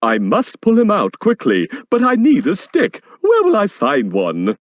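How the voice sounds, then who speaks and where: A man's voice: high-pitched, wildly swooping pitch, unhurried, no echo, studio quality, full character voice. A man playing a helpful, earnest servant in a children's fairy-tale game, in a theatrical manner. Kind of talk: children's storybook game acting. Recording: prominent music behind the voice.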